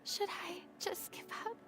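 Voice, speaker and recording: A woman voicing a vain, theatrical young goddess who hides despair and guilt in a video game. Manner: dramatic, shifting from broken murmurs to haughtiness. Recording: game music behind the voice.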